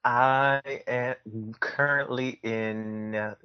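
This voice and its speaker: A man's voice: medium pitch, steady tone, very slow, smooth, no room sound, lo-fi sound. A man, telling personal stories casually as an interview guest. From southern United States. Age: early 20s. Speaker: podcast guest.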